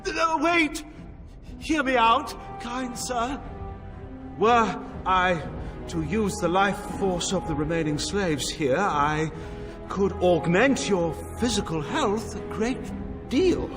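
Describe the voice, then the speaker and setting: An actor playing a slippery, grandiose mage villain in a video game, pleading theatrically. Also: male voice, high-pitched, animated, takes it slowly. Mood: desperate bargaining. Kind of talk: video game cutscene acting. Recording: distant, reverberant hall under music.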